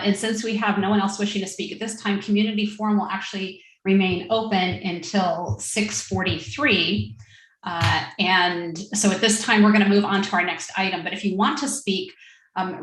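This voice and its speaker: A woman's voice: mid-range voice, echoey room, poor audio. A woman serving as mayor, chairing a public council meeting in an informal way with frequent fillers and restarts.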